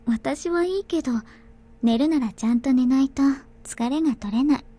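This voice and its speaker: A woman playing a gentle, caring young heroine in a visual novel. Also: high voice, fast talker. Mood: soft, caring. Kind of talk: Japanese visual novel voice acting.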